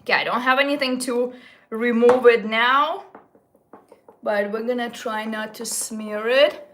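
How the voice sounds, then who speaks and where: A woman in her late thirties talks her viewers through her makeup at an unhurried, drawn-out pace. Her voice sits fairly high, and she sounds chatty and gushing, stumbling and restarting now and then. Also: a bit bright, a little hiss or hum. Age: 30s. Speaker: beauty vlogger. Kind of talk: casual makeup talk to viewers.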